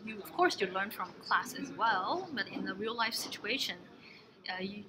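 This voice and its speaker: A young woman from Canada talks through her answers in a relaxed, unhurried interview, circling back and restarting as she thinks. Her voice sits at an ordinary middle pitch. A steady hiss of room noise runs under the whole recording. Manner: thoughtful, many restarts and fillers, soft trailing asides. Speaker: interviewee, exchange alumna. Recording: noisy room ambience.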